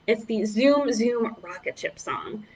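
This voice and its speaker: A woman's voice: fairly high pitch, animated, takes it slowly, a little mellow, low-quality recording. A woman children's librarian leading a preschool storytime, speaking to young children in an encouraging, exaggerated way.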